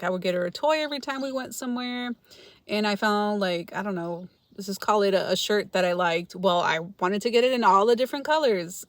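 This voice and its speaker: Middle aged la woman on a chill livestream, laughs a lot, talks right to her viewers, medium pitch. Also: no echo. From Los Angeles, California. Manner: chatty, laughs often, talks back to viewers by name. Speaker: frugal-living channel host reading viewer comments. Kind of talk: casual livestream chat.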